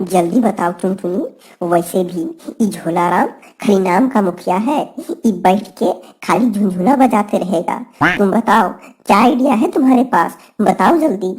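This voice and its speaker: A woman's voice: medium pitch, moderate pace, a little mellow, husky, close to an everyday voice. A woman voicing a cheeky, cowardly young male village bird in a cartoon, with comic, fretful rustic talk. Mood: complaining, urgent.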